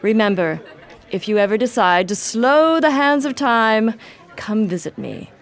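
A sly radio-drama villainess, acted by a woman, unflappable and taunting. Her pitch swings expressively through every insinuation. A murmur of people sits behind her. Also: expressive, a little slow, a faint crowd. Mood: smug, taunting. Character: suave, sly, unflappable villainess who denies murder. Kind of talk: radio mystery drama acting.

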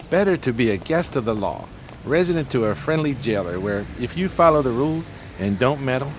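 An actor playing a pompous, genteel homeless schemer in a 1920s radio play, speaking in flowery, courteous phrases. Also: male voice, lowish voice, slight background noise, natural voice. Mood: lofty, indignant.

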